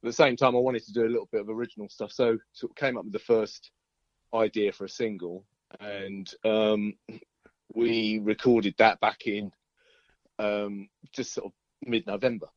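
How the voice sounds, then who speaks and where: A man's voice: fairly low pitch, level tone, moderate pace, darkish tone, dry recording. An easygoing man chats casually as an interviewed band musician, rambling and often hesitating over his words. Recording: dull lo-fi call audio.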